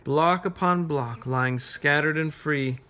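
A man reading a picture book aloud to children, pausing to ask them gentle, encouraging questions. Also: fairly high pitch. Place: carpeted room at home, sitting on floor.

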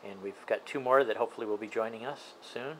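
A man's voice: fairly low pitch, quick pace, rough recording. A man chatting casually as he vlogs in a hotel parking lot, narrating to his camera. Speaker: motovlogger. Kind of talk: casual vlog chat. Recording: outdoor noise on camera audio.

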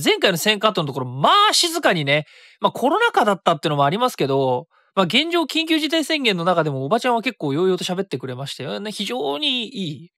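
Lively solo radio host, very animated pitch. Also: no room sound, clean studio sound. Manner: chatty, polite speech mixed with colloquial asides. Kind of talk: casual solo radio talk.

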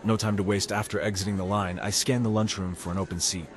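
Visual novel lead: man as anxious, sardonic high schooler, deadpan grumbler, lowish voice. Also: fast talker, some chatter behind, natural voice. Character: cynical, anxious, foul-mouthed male high school student. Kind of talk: first-person visual novel narration and dialogue.

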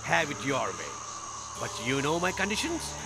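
A man's voice: medium pitch, wildly swooping pitch, normal speed, noisy background. A man voicing a taunting ghost storyteller in a children's cartoon, mocking and theatrical, with music behind. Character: taunting male ghost Betal, storyteller spirit. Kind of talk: animated children's story acting.

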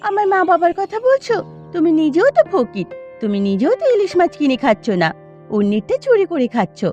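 A woman voicing a sharp-tongued, easily hurt young village wife in a cartoon. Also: very high-pitched, very animated, fairly quick, dull audio, soft background music. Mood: hurt, quarrelsome. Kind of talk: Bengali cartoon dialogue.

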